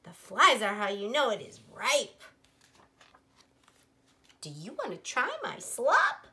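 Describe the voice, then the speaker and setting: A woman's voice: a little high, huge pitch swings, takes it slowly, bright, forceful tone, pitched below the performer's own voice, exaggerated voice. A woman voicing an eager, proud little girl pig in a storybook read-aloud, gushing and emphatic. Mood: encouraging.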